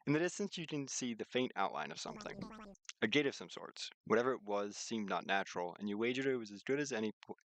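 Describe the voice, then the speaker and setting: A man's voice: lowish voice, animated, fast talker, dry recording. Man streaming and narrating, dry sound. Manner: informal, breaks off into asides. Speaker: streamer and visual novel developer. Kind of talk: casual stream chat mixed with read-aloud narration.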